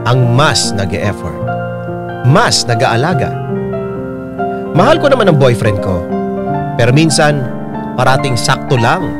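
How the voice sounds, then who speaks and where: A man with a mid-pitched, very animated voice narrates a letter for a radio drama. His delivery is reflective and scripted, with drawn-out phrases, over prominent piano music.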